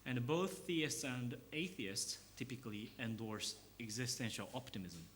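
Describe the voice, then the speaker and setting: A man, a philosophy professor, giving an academic lecture in a measured, explanatory way with pauses and fillers. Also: medium pitch, a bit bright, slight background noise.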